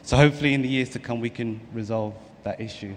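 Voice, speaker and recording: A man's voice: mid-range voice, quick pace. A man with a London accent moderating a conference panel, speaking informally to introduce the session. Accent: London English. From London, England. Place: large conference hall on stage. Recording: echoey hall PA, noticeable noise.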